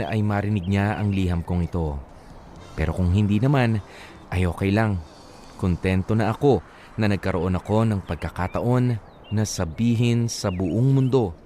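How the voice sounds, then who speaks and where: A man voices a repentant, lovelorn letter writer with a Filipino accent. He narrates in a low, measured, heartfelt voice for a radio drama, with music running underneath. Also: dark tone, close to an everyday voice.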